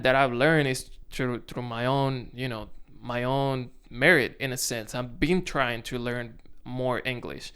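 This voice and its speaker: A man's voice: mid-range voice, a bit bright, smooth. Resonant Dominican man talking to camera. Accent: Dominican Spanish. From Dominican Republic. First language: Spanish. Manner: self-conscious, halting, frequent restarts. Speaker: content creator. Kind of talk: casual talk to camera. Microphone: camera on tripod.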